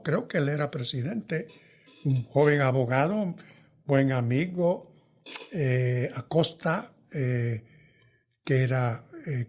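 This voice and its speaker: An older man, his voice a touch husky and pitched a little high for a man. He talks things through slowly and thoughtfully, with pauses, and his sentences often fade away quietly at the end. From New York. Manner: thoughtful, hesitant, trails off softly. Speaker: veteran journalist guest. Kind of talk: reflective interview answers.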